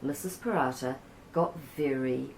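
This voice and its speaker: A woman with a New Zealand accent reads a children's story aloud, gentle and unhurried. Her voice sits low with a gravelly edge, and the room gives it a noticeable echo. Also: a little hiss or hum. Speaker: storybook reader. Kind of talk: reading a children's picture book aloud. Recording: roomy echo.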